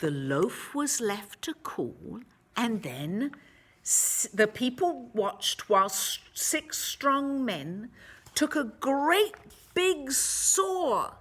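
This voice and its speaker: A woman reading a picture book aloud to children, expressively, with dramatic emphasis and drawn-out words. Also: on the high side, very animated, fairly bright tone.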